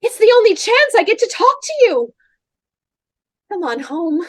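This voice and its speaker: Squeaky whiny wife, nags and needles, radio comedy. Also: female voice, very animated, normal speed. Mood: nagging. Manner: whiny, plaintive, teasing. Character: whiny nagging wife at bedside. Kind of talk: comic radio play acting.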